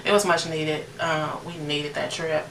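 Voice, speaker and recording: Low-voiced American woman, roomy distant recording. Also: little pitch movement, measured pace, creaky, fairly bright tone. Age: late 30s. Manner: chatty, informal, addressing viewers directly. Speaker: beauty vlogger. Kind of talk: casual chatty makeup tutorial. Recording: roomy, distant mic with noticeable background noise.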